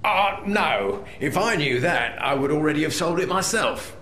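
An actor playing a shady, mocking crime boss in a video game, smug and conspiratorial. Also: male voice, fairly high pitch, lively intonation, moderate pace, sharp tone, roomy sound, a little hiss or hum. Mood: sly. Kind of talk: video game character acting.

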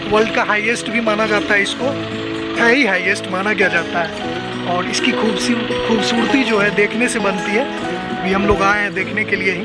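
A high-voiced young man chatting excitedly to his phone camera outdoors as he tours a place. Loud music plays under his voice throughout. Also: moderate pace. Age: young adult. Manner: chatty, excited tour-guide narration. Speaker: vlogger. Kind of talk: casual travel vlog commentary. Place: outdoors at a hill stadium. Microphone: handheld phone selfie camera.

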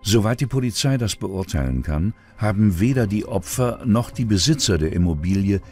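Male narrator for crime documentary, deep register, scripted delivery over faint music. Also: expressive, moderate pace, darkish tone.

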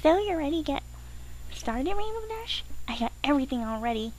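A woman voicing a gushing, gleefully excited young female pony in an amateur story reading. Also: high voice, brisk, pitched above the performer's own voice, low-quality recording. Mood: eager. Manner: gushing, sing-song, exclaiming. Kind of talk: amateur dramatic reading of fan fiction.

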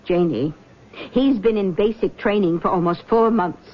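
A woman's voice: medium pitch, normal speed, lightly acted. Meddling mother played by a woman, dull recording. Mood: disapproving, pointed. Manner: coaxing, pointed, insistent. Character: doting, meddling, disapproving middle-aged mother. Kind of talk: 1970s radio drama acting. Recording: dull vintage radio recording.

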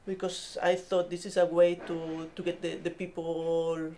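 A woman speaking as a podcast guest in casual interview style, telling stories haltingly and often repeating words. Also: fairly low pitch, level tone, dark tone, a little hiss or hum. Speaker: podcast guest, marine scientist.

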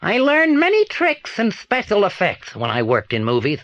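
An actor with a British accent playing a reclusive, secretive old film actor in a children's audio drama, deliberate and theatrical. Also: male voice, high-pitched, huge pitch swings, fairly bright tone, no echo, muffled recording, heavily acted. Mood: confessional.

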